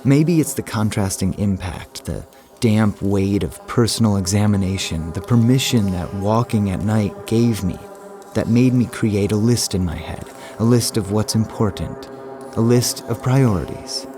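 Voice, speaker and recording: A male actor performs a haunted man in an audio horror monologue, low in pitch. He speaks very slowly and deliberately, in broken, repeated phrases, as if confessing something dark. Faint music plays beneath him. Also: close to an everyday voice. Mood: brooding, wistful. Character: haunted man confiding a dark, violent secret. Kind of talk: slow, scripted dramatic monologue for an audio horror drama.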